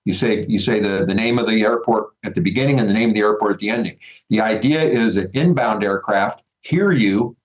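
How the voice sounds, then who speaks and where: A man's voice: on the low side, brisk, dull audio. A man speaking as a veteran pilot guest, explaining things conversationally over a remote connection.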